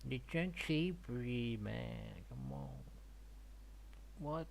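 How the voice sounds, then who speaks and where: A gaming streamer reacts casually to a story in an animated, swooping voice. The delivery is slow and halting, with words repeated while thinking aloud. Some noise sits behind the voice. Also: medium pitch, vocal fry.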